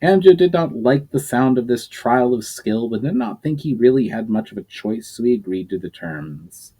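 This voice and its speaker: A man giving a dramatic reading of his own novel, narrating in a plain, steady way. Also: mid-range voice, normal speed, husky, poor audio. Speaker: storyteller.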